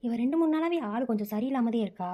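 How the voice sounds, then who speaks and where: Woman acting quick-talking, puzzled young woman. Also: a little high, animated, highs cut off. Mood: puzzled concern.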